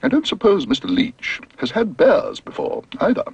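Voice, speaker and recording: A man voicing a brisk, sharp-tongued woman in a storybook reading, talking quickly and bossily. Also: huge pitch swings, creaky, slightly rough, no echo, dull audio, exaggerated voice. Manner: quick, bossy, high-pitched.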